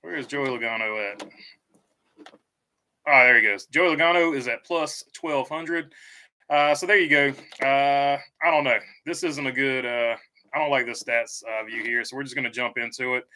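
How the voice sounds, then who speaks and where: A man hosting a casual live stream, talking in a halting way with many fillers while reading out viewer comments. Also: mid-range voice, sharp tone. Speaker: livestream host.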